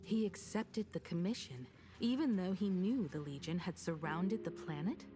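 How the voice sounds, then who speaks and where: A woman voicing a composed, grave young woman in a video game, speaking in a measured, restrained way. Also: on the low side, close to an everyday voice. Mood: grave, troubled. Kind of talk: dramatic video game voice acting. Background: prominent music.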